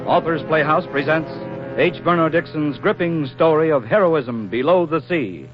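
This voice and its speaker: A man's voice: on the high side, moderate pace, natural voice. A man announcing a 1940s radio play in a grand, suspenseful manner. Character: dramatic male announcer introducing a radio play. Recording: muffled 1940s radio, loud music.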